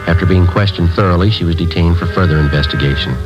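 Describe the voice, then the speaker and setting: A man playing a terse, deadpan American police sergeant in a 1950s radio drama, giving clipped case narration. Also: deep voice, quick pace, over music, close to an everyday voice. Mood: matter-of-fact. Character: terse, matter-of-fact male police sergeant narrating his cases. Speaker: narrator and lead police detective. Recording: muffled old radio broadcast recording.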